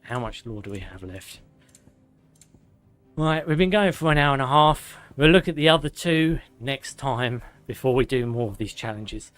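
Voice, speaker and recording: A man streaming a game, reading lore aloud with sarcastic asides, his voice going hoarse and a little out of breath. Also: mid-range voice, animated, moderate pace, fairly bright tone. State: slightly out of breath. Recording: faint music behind.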